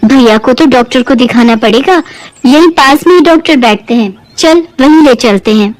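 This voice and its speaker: A woman's voice: sits high, moderate pace, natural voice, rough recording. A woman voicing a concerned, inquisitive neighbour with a familiar, direct manner in a Hindi moral-story cartoon.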